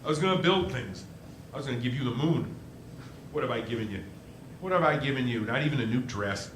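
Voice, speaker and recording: An actor playing an earnest, flustered, hot-headed young husband in a live 1940s-style radio play, with a stammering, halting delivery. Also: male voice, mid-range voice, lively intonation, a bit bright, close to an everyday voice. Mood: dejected. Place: live stage performance. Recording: roomy stage sound, faint noise.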